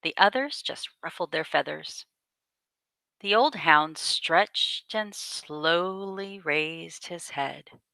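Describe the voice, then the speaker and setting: A woman reading a picture book aloud to children as a storyteller, with deliberate, dramatic phrasing. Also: low-pitched, vocal fry, pushed and bright, no echo.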